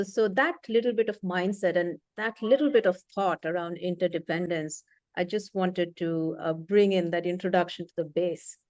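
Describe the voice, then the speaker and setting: A woman's voice: fairly low pitch, a little mellow, dry recording. A woman leadership coach explaining concepts thoughtfully in conversation, with frequent fillers and restarts.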